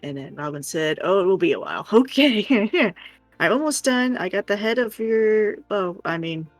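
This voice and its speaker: A woman's voice: medium pitch, animated, moderate pace, dry recording. A woman co-hosting a livestream, reading comments and chatting in an informal, playful way. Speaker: livestream co-host reading chat. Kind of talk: casual chat, reading comments aloud. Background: music.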